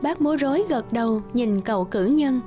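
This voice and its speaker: High-pitched woman storyteller over music. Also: animated, moderate pace, mellow. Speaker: narrator. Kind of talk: scripted fairy tale narration for children.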